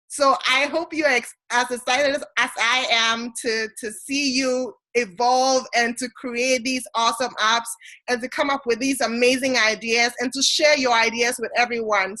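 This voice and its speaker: Woman, high-pitched and energetic, encouraging project manager on a video call. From Ghana. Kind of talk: enthusiastic welcome speech on a video call.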